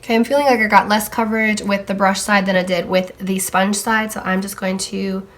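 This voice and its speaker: A young woman's casual makeup review, her voice a little froggy and hoarse as she gets over a cold. She talks easily while she tries out products. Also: mid-range voice, steady tone, a little fast. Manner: chatty, talking while applying makeup. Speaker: beauty vlogger.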